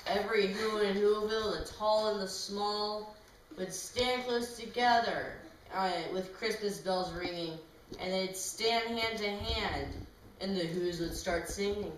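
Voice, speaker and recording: A woman's voice: medium pitch, unhurried. A woman reading a children's rhyming storybook aloud in an informal way. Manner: informal, with asides to people nearby. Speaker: reader of a storybook. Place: echoey room, away from the mic. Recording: very echoey room, distant mic, clear noise.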